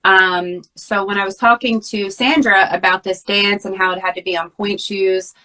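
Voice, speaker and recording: Bright-voiced middle-aged woman telling a heartfelt story. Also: medium pitch, steady tone, moderate pace, rough recording. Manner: earnest, heartfelt, acts out her own thoughts in quotes. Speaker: podcast guest. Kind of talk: personal storytelling in conversation.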